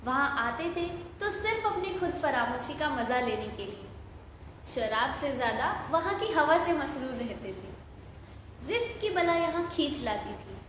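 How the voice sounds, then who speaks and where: Woman narrator, dramatic storytelling, high pitch, very echoey hall, noticeable background noise. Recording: echoey hall, long reverb, clear noise.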